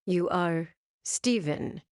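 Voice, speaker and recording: Slow, cold, haughty gem villain. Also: female voice, lowish voice, no room sound, clean studio sound. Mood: cold, certain. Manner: deliberate, clinical, condescending. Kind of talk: animated game cutscene acting.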